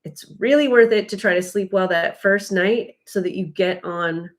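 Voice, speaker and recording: A woman's voice: mid-range voice, normal speed. An American woman co-hosting a live travel stream, chatting casually and explaining things in a rambling, chatty way. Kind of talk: casual chat, giving travel tips.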